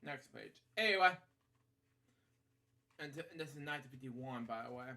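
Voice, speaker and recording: A man hosting a bedtime story read-aloud, halting and rambling as he drifts into casual asides. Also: medium pitch, lively intonation, sharp tone. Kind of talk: picture-book read-aloud with casual off-the-cuff commentary.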